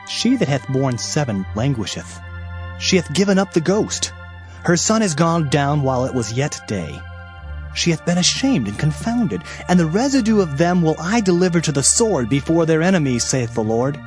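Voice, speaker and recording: An earnest, troubled prophet in a scripture drama. His voice sits fairly high, solemn and plaintive in its declamation. Music plays underneath. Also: darkish tone.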